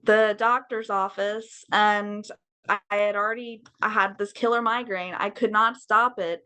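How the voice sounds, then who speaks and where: A young woman with a bright, slightly raspy voice pitched a touch high talks openly and casually in an interview. She is frank and jokes readily, with the odd swear word mixed in. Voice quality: slightly raised, raspy voice from a nerve implant. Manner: candid, swears freely, frank and joking. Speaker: podcast guest.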